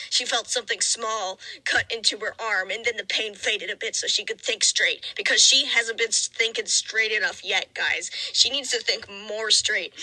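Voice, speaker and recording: A woman's voice: on the high side, bright, forceful tone, dry recording, rough recording. A woman giving a mock-dramatic reading of fan fiction, with sarcastic, theatrical asides. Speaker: narrator and commentator.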